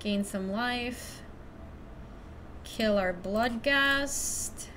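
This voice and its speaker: A young woman from Ecuador chatting casually through a card game stream, thinking out loud and laughing often. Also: fairly high pitch, extremely slow, a little hiss or hum. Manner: chatty, giggly, thinks aloud to chat. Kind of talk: casual live-stream commentary.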